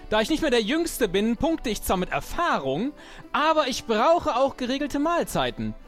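A man acts out a small, cocky older pirate recruit with a high voice that swings animatedly. He boasts hesitantly in an improvised audio play, with music behind him. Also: wildly swooping pitch, normal speed, a bit bright, full character voice. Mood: boastful.

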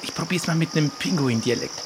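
A man's voice: a little high, brisk, noisy background. A man playing along in an improvised adventure audio play, with casual, exclamatory banter.

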